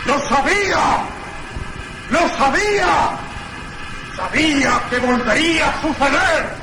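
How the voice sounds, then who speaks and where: An actor playing a crazed, raging duke in a Spanish radio horror drama. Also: male voice, very high voice, animated, takes it slowly, raised voice, background noise, full character voice. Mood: frenzied rage. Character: crazed, raging male duke screaming in a crypt.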